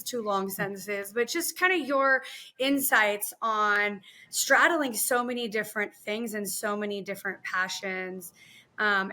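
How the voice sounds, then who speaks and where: Bright-voiced woman host asking questions. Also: medium pitch. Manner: rambling, hesitant questions with fillers. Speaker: interview host. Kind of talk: interview question.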